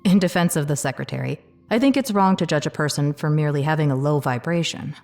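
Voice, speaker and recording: Woman playing a lowish-voiced, direct questioner. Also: fast talker, a little mellow, faint music behind. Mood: fair-minded. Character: curious, fair-minded woman questioner among officials. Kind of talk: audio drama acting.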